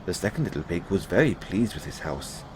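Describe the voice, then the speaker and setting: Male storyteller: low voice, expressive swings in pitch, measured bedtime fairy tale reading, noisy background.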